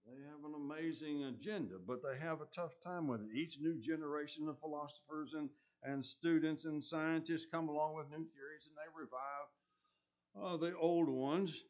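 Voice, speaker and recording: A man's voice: fairly high pitch, steady tone, moderate pace, darkish tone. A man preaching a sermon with a scratchy voice, talking earnestly and often restarting his phrases. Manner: earnest, with many restarts and ums. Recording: dull lo-fi recording.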